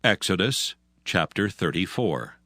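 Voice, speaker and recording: A man narrating scripture from a script in a measured, formal manner. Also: low voice, sing-song. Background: music.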